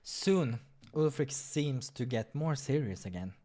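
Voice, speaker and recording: Animated man narrating a game playthrough. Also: mid-range voice, slow. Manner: playful, crude, amused. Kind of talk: narrating a visual novel with casual commentary. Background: music.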